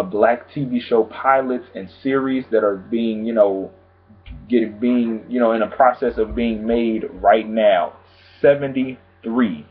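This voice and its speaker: Husky man chatting casually, tinny sound. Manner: chatty, frequent "pretty much" and "you know what I'm saying"; calm, low-key delivery. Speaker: vlogger discussing TV news. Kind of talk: casual chat to camera.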